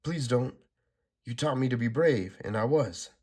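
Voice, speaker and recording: A defiant young superheroine, as a man puts her on, shouting with strained, raised intensity. She is brave and selfless. The voice pitches well above his usual and carries a slightly husky edge. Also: expressive, a little slow. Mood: tender.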